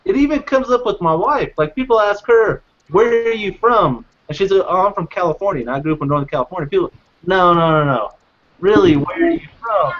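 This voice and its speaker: A man's voice: sits high, big pitch swings, a little fast, a bit bright, a little hiss or hum. A man co-hosting a podcast in casual chat, talking in a loose, profane, rambling way with many restarts. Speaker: podcast co-host. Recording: dull lo-fi podcast audio.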